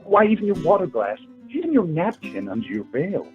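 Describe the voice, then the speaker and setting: An actor playing an earnest, polite young suitor in a radio play, courteous but halting and flustered. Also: male voice, high-pitched, wildly swooping pitch, darkish tone. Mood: nervous, courting. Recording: tinny phone line with music behind.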